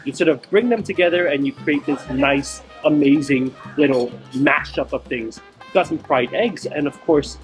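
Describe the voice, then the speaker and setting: A man hosting a travel food vlog, a little hoarse and raspy from feeling unwell, talking enthusiastically and repeating words for emphasis. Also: fairly high pitch, normal speed, soft background music, poor audio. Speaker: food vlogger host. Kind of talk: casual travel vlog narration. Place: outdoors in a small-town plaza, then a tiny eatery.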